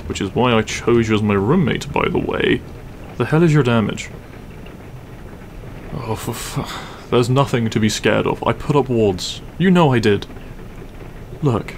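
A man playing a grumpy but secretly caring half-dragon roommate in an audio roleplay, gruff, weary and blunt. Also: medium pitch, a little hiss or hum, natural voice. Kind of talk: audio roleplay acting, spoken to the listener.

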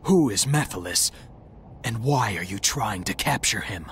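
A man's voice: on the low side, animated, a little slow, fairly bright tone, a little hiss or hum. A man voicing a brooding, terse hedgehog antihero in a video game, cool and serious. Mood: cool, demanding. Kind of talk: video game cutscene voice acting.

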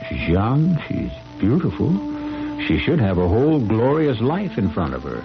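Gravelly male radio mystery host. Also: lowish voice, big pitch swings, moderate pace. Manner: wry, dramatic, posing rhetorical questions. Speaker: host and narrator. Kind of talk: theatrical scripted narration for 1970s radio mystery. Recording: dull vintage radio recording, loud music.

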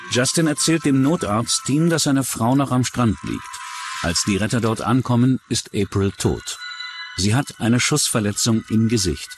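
A man's voice: medium pitch, expressive, normal speed. A man reading scripted true-crime narration as a documentary narrator. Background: music.

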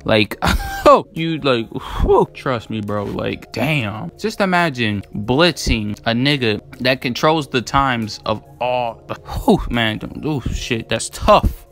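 A man's voice: medium pitch, sing-song, takes it slowly, creaky, a bit bright, faint music behind. A young man giving casual, unscripted commentary as a video creator, rambling in a slangy, profane, hyped and emphatic way. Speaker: video creator debating anime battle matchups.

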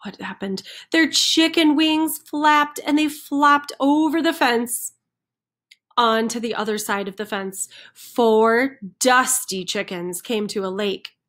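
Slow-paced, higher-voiced woman reading to children. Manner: expressive, emphasizing rhyming sound words. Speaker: children's center storyteller. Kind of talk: read-aloud picture-book narration for young children. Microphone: computer microphone on a screen recording with webcam.

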